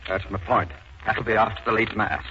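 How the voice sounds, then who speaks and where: Dull hissy old radio recording, mill hand, bright forceful voice, plain-spoken. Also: male voice, fairly low pitch, steady tone, normal speed. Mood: modest, keen. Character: plain-spoken Irish steelworker, loyal, inventive mill hand. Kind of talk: 1940s radio acting.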